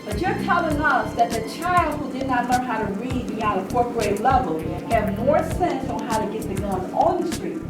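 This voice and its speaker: A woman's voice: fairly high pitch, expressive, moderate pace, hardly any pauses. A woman leading an informal group discussion in an emphatic way, asking rhetorical questions and repeating phrases for stress. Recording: echoey room, distant mic, loud music.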